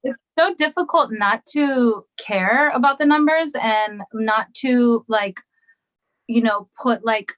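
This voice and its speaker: A woman who is a musician guest on a podcast, answering in a reflective, hesitant way with many pauses and restarts. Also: on the high side, a bit bright, rough recording. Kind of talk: casual interview chat.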